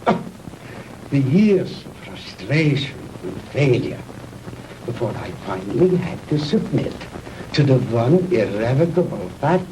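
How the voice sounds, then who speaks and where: Mad scientist, proud, lecturing, very deliberate, 1960s film drama, distant noisy soundtrack. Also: male voice, mid-range voice, sing-song. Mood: pompous, lecturing. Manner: pompous, lecturing, theatrical deliberate pauses. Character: proud, vengeful mad scientist from a defeated wartime nation. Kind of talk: 1960s B-movie sci-fi acting.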